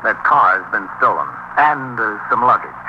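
An actor playing a measured, dryly firm police precinct captain in a 1950s radio drama. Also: male voice, fairly low pitch, very animated. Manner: measured, dry, authoritative. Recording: very noisy muffled 1950s radio recording.

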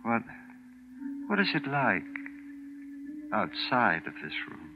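A man's voice: mid-range voice, very animated, slow pace, background noise. A man playing a frightened rich older hospital patient, narrating in tense, breathless 1940s radio drama style. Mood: weary. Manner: tense, breathless dread, hushed to urgent. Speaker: lead actor and first-person narrator. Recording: muffled 1940s radio broadcast, music behind.

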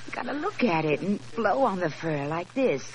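A woman's voice: fairly low pitch, big pitch swings, normal speed, crowd noise, low-quality recording. An actress playing a coaxing, girlish gangster's moll in 1940s radio style, cooing slangy pet names. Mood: coy. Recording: old radio recording with background voices.

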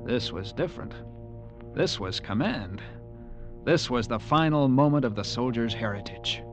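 An actor playing an earnest, uneasy young cavalry lieutenant, telling his story in measured, literary tones for a radio drama. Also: medium pitch, expressive, lightly acted. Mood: tense, brooding. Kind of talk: dramatic first-person narration, radio drama acting. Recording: old radio broadcast, music behind.

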